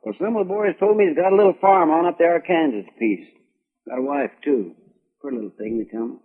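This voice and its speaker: Folksy deputy, tinny reverberant radio. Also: male voice, a little high, brisk, breathy edge. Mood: folksy, reporting. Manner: folksy drawl, deferential. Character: folksy, deferential marshal's deputy in Old West. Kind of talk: 1950s radio western acting.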